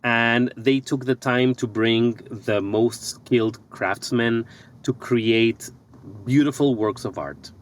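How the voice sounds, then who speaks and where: Thoughtful man from Ecuador, many restarts. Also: mid-range voice. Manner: thoughtful, pauses and restarts often. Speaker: guest, architect and business coach. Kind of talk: conversational podcast interview.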